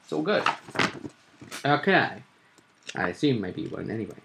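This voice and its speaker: A man with a bright, mid-pitched voice talks casually as a game master hosting a vidcast. His intonation swings widely, and he rambles in an animated, chatty way as he speaks directly to his viewers. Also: normal speed, gravelly, rough recording. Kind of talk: casual chatty recap of a tabletop roleplay session.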